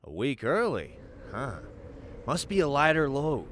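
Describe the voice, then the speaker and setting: An actor playing a commanding, shrewd gang boss in an audio drama, giving orders gruffly. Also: male voice, mid-range voice, wildly swooping pitch, unhurried, fairly bright tone, a little hiss or hum. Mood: brisk, gloating.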